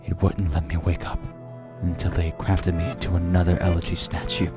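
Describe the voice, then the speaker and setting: Haunted young man for horror diary reading, male actor: low pitch, frightened, paranoid, dramatic, over faint music. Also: flat delivery, normal speed. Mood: panicked.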